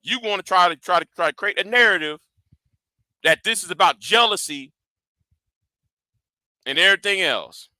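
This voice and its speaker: A man with a high voice and a hard, sharp edge, ranting in a commentary vlog. He swears freely, stumbles over repeated words and talks straight at his critics. The sound is dry and close. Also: lively intonation, moderate pace, pauses often, no room sound. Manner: confrontational and profane, repeats and restarts words; challenging his audience directly. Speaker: YouTube commentator.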